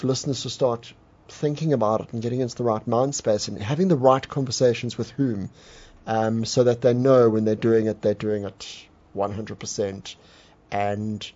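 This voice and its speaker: Male radio host, mellow warm tone, rambling questions, muffled sound. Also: medium pitch, fairly even intonation, moderate pace. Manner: addresses listeners, long rambling questions. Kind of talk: radio interview.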